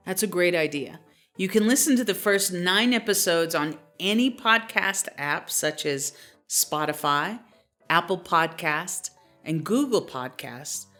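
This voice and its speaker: A woman's voice: on the low side, animated, unhurried, fairly bright tone, reverberant. A woman hosting a behind-the-scenes promotional feature, speaking to the audience. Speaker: host and singer. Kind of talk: promotional behind-the-scenes talk and sung performance. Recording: music playing behind the voice. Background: plucked guitar music.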